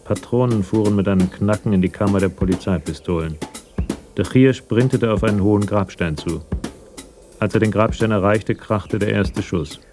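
An actor playing the sober, detached narrator of a German radio crime drama. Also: male voice, fairly low pitch, normal speed, a little mellow, soft background music, lightly acted. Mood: sober, tense.

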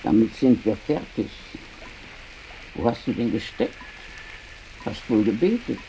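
A practical old village grandmother in a radio drama. Her voice is very dark and soft-edged, moving freely up and down over faint sizzling noise. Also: extremely low pitch, animated, a little slow, full character voice, low-quality recording. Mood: brisk, chiding. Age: older. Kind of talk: German radio play acting.

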